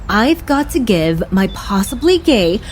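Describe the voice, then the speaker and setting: A woman playing an overbearing, oblivious, excitable mother in a comic audio drama. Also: medium pitch, unhurried, a little hiss or hum. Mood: eager.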